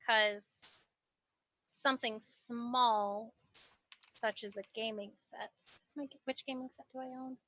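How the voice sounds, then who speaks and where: Female player, bright and a bit high, chatty, thinks out loud, online call mic. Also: fairly even intonation, slow, long pauses. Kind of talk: casual chat while playing a tabletop game. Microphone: computer mic on an online voice call.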